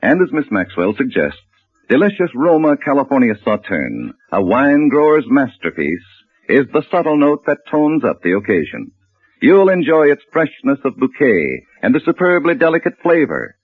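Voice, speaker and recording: A man's voice: mid-range voice, sing-song, moderate pace, slightly rough, dry recording. A man delivering a polished, scripted 1940s radio commercial as the announcer. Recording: muffled, dry old radio recording.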